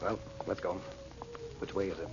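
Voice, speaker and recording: An actor playing a resolute, reassuring magician hero in an old radio drama, earnest and decisive. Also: male voice, deep voice, fairly even intonation, normal speed, lightly acted. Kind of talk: 1940s radio drama acting. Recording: muffled old radio recording, faint noise.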